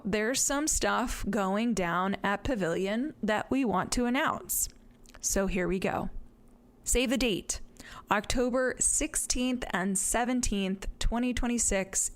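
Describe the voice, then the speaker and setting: A woman hosting a podcast, reading an intro and announcements and then interviewing a guest in an upbeat, quick way. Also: medium pitch. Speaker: podcast host.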